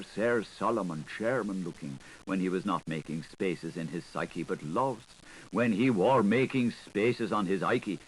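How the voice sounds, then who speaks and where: A man narrating a literary audiobook in an expressive, theatrical way, playful with wordplay and sound effects. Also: on the low side, breathy edge, poor audio. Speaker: audiobook reader. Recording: 1980s audiobook recording with audible background noise.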